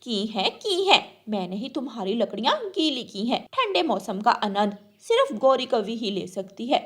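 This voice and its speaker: A woman's voice: on the high side, sing-song, moderate pace, fairly bright tone. A woman voicing a spiteful rich female crow in a cartoon, haughty and taunting. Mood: defiant.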